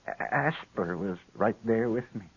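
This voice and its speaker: A shifty frontier lawyer weakened by a gunshot wound, insistent yet evasive. His voice is low and husky. The sound is a dull vintage radio drama. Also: lively intonation, unhurried. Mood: pained, evasive. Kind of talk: old-time radio western acting. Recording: dull lo-fi 1950s radio recording.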